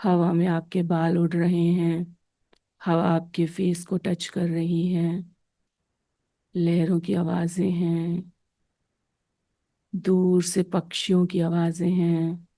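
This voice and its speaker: Very slow, mellow woman guiding meditation. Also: on the low side, completely flat delivery. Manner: gentle, hushed pacing with short, spaced phrases. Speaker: meditation guide, therapist. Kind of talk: slow soothing guided meditation narration.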